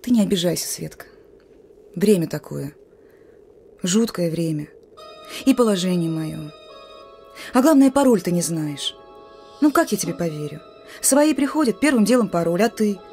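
An actress playing a young, wary partisan sentry in a radio drama, gruff but soft-hearted, with a brusque colloquial manner. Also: female voice, on the low side, expressive, moderate pace, long pauses, soft background music. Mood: suspicious, earnest. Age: young adult.

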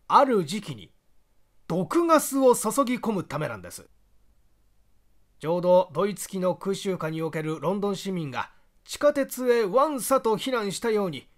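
Mystery audiobook role: male reader as a self-assured investigator, high voice with lively swings, laying out facts emphatically and courteously. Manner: emphatic, expository, polite address. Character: confident male investigator explaining a spy plot to listeners. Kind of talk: audiobook character dialogue.

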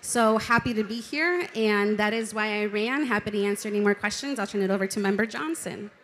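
A woman's voice: mid-range voice, fairly quick, slight background noise. A young woman, born in Mexico and raised in Detroit, a city council member, speaking enthusiastically on a conference panel into a handheld mic. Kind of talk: panel discussion answers. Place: conference stage, indoors.

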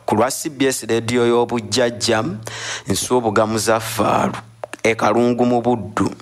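A man with a Ugandan accent delivering a scripted radio news report in a rapid, formal way. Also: mid-range voice, steady tone.

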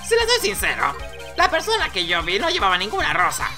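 A man voicing a silly, excitable clown witness in a courtroom video game, eager and showy. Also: high voice, a little fast, music in the background, voice pushed up. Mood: earnest. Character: excitable, silly middle-aged male clown on the witness stand.

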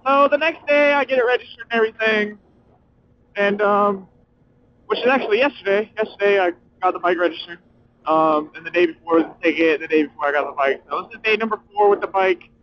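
A young man with a notably high voice rambles casually to viewers while riding his motorcycle. He is excitable and sweary, full of slang. The sound comes through a dull helmet intercom mic, with motorcycle noise behind him. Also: a little fast. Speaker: motorcycle vlogger. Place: outdoors, riding a motorcycle at night. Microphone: helmet communicator mic inside helmet.

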